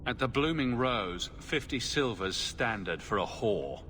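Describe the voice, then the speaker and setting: A man's voice: mid-range voice, lively intonation, a little slow, background noise, close to an everyday voice. A man playing a dry-witted, sardonic young adventurer hero in a video game. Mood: sarcastic. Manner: dry, wry.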